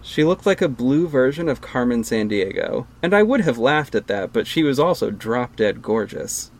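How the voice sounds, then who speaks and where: A man's voice: mid-range voice, sing-song, moderate pace. A man narrating an audio drama in the first person, with a wry, casual storytelling manner.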